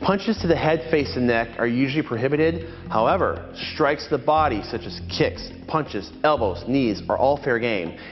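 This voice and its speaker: A man narrates a martial arts history in a measured, explanatory way, his voice at an ordinary male pitch. The sound is dull and carries a long reverb tail, with faint music underneath. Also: animated. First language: not Japanese. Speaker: martial arts channel host. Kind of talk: scripted documentary narration.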